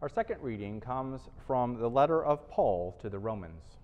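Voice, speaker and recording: A man's voice: medium pitch, expressive, moderate pace, a little mellow. A middle-aged man, a priest, reading scripture emphatically while wearing a face mask in a small church. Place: small church chancel, indoors. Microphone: lapel mic, face mask. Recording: dull sound with church reverb.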